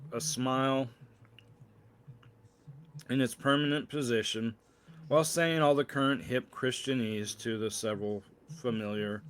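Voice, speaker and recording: Male author-narrator, storybook reading, slightly halting, stumbles and corrects himself. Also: medium pitch, slight background noise. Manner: halting delivery with misreadings and corrections. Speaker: author reading own novel aloud.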